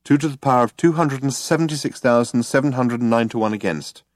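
Guy doing a quiet patient woman for an audiobook, matter of fact, bit weary, dry sound. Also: mid-range voice, fast talker, dry recording, pitched above the performer's own voice, lightly acted. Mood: calm, explaining. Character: calm, patient, weary clever young woman. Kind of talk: audiobook character voice.

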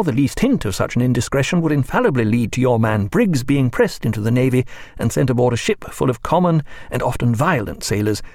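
A man voicing a reserved ship's doctor who speaks in a formal, measured, stern way. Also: medium pitch, a little mellow, no room sound, studio quality.

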